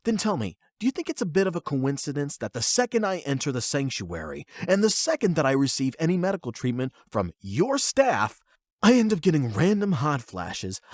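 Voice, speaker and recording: A man plays a young male delinquent in an audio roleplay, defiant and quick to anger. His delivery is gruff and blunt, always pushing back with suspicion. The recording is dry. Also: on the high side, dry recording, voice pushed up. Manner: blunt, confrontational, swearing.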